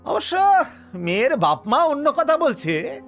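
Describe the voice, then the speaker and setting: An actor playing a talkative, smug police officer in a Bengali audio story, speaking colloquially with scoffing rhetorical tags. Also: male voice, very high voice, very animated, slow, faint music behind, exaggerated voice. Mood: dismissive. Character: Anishchay, talkative cocksure male police officer, smug and sardonic.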